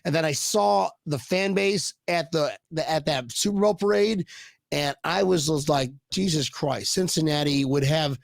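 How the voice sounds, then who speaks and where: A man's voice: a little high, measured pace, gravelly, no echo. A man hosting a casual podcast, bantering in a hyped, playful way. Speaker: podcast host.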